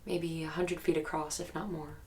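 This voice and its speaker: A woman's voice: low-pitched, flat delivery, darkish tone, lightly acted. An actress playing a guarded young woman in an audio drama, recounting a haunted childhood memory in a hesitant, reflective, documentary-interview way. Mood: subdued, haunted. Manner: hesitant, reflective storytelling with pauses.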